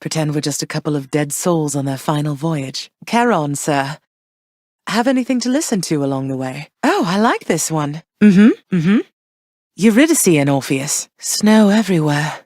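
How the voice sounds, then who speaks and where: Persephone, gentle underworld queen. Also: deep voice, lively intonation, no room sound, clean studio sound. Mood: warm. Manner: soft, measured, tender. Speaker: game character. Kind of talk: game voice lines.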